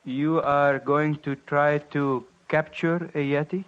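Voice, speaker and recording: A man playing a solemn, dignified Sherpa mountain guide in a 1950s radio drama, speaking in formal, measured phrases. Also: a little high, fairly even intonation, fairly bright tone, clear, resonant tone. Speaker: actor in a radio play. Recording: lo-fi, noisy 1950s radio recording.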